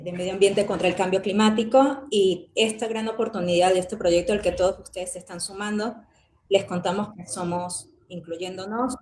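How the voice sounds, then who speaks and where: A woman's voice: medium pitch, darkish tone, reverberant, a little hiss or hum, poor audio. A woman from Venezuela with a Venezuelan accent, hosting a volunteer training session and giving a formal welcome with frequent "eh" fillers. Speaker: host of a volunteer training webinar.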